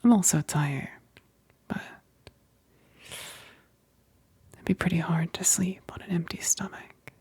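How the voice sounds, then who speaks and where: A woman's voice: lowish voice, mellow, dry recording. A woman playing an affectionate, self-conscious vampire best friend in an intimate ASMR roleplay, whispering hesitantly and tenderly, close to the mic. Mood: teasing, hungry. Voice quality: breathy, whispery, creaky. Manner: slow, hesitant, halting, tender. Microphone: close, dry microphone.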